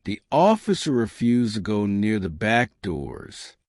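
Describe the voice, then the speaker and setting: A man narrating a scripted comic story with dramatic, deadpan delivery and deliberate pauses. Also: lowish voice, no room sound, studio quality.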